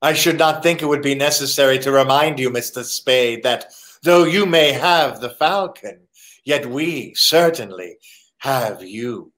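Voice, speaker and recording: An actor playing a prim, insinuating crook in a radio drama, with precise and formal wording. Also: male voice, medium pitch, a little slow, fairly bright tone, close to an everyday voice. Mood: prim, threatening. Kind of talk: old-time radio drama acting.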